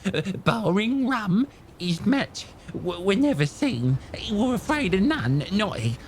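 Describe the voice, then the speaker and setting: A man's voice: high-pitched, very animated, unhurried, slight background noise, heavily acted. An actor playing a half-crazed old male castaway who stammers, rambles and repeats himself. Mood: wheedling. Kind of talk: radio drama acting.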